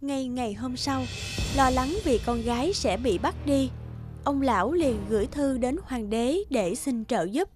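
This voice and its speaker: A woman narrates a children's fairy tale in a high voice. It is scripted storytelling, with music playing underneath. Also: measured pace.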